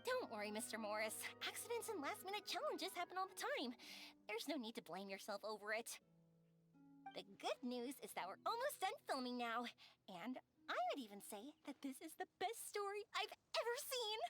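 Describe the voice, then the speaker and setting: An actress playing a sociable, sincere young woman on a film crew in an anime game, expressive and earnest. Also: high-pitched, brisk, soft background music. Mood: encouraging.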